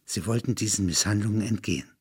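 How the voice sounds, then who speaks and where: A man's voice: on the low side, fast, darkish tone, breathy edge. A man reading literary narration in an explanatory manner, breaking into spoken asides. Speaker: narrator and reader.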